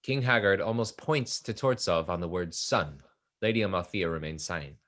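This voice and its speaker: Very low-pitched male play narrator. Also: normal speed, a bit bright. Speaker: narrator reading stage directions. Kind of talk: scripted narration of a stage play.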